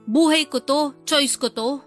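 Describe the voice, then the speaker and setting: A woman's voice: sits high, unhurried, fairly bright tone, soft background music. An actress playing a blunt, self-pitying older sister and struggling mother who complains defensively in a podcast drama. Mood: indignant. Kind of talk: Filipino podcast radio drama dialogue.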